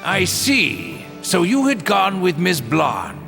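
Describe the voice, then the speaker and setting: Very slow, creaky, courteous professor. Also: male voice, high-pitched, wildly swooping pitch, full character voice. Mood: wry, courteous. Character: genteel veteran male magic professor, unhurried and wry. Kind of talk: English-dubbed fantasy game cutscene acting. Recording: game music behind the voice.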